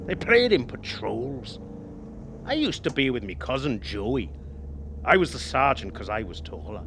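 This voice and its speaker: An actor playing a chatty, wily older ex-cabbie in a radio drama, with a Northern Irish accent and a wry, insistent manner. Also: male voice, medium pitch, big pitch swings, unhurried, background noise. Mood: reminiscing. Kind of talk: naturalistic radio drama acting.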